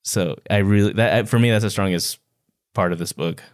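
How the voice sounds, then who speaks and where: Low-voiced man, casual podcast co-host. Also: normal speed, clean tone. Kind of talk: casual book discussion podcast.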